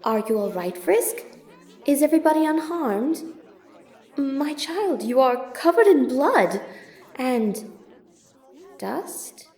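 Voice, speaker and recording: A woman playing a gentle, protective monster mother in an audio drama, speaking in a formal, careful, soothing way. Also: high-pitched, big pitch swings, takes it slowly, long pauses, echoey room, exaggerated voice. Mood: worried, urgent.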